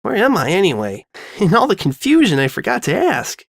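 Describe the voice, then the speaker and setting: A man's voice: high-pitched, huge pitch swings, brisk, creaky, voice pushed up, exaggerated voice. A man voicing a ditzy, emotional, cheerful teenage girl in a storybook reading, bubbly and scatterbrained. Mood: curious.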